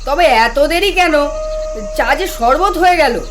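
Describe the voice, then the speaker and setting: Man acting high-pitched, gentle, weary uncle. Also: expressive, a little slow, music in the background. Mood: concerned. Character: kindly, weary uncle, head of household. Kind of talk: cartoon drama dialogue.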